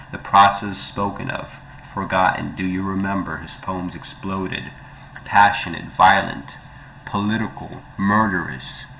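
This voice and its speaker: Very deep, gravelly man reciting poetry. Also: bright, forceful tone, a little hiss or hum, poor audio. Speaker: poetry reader.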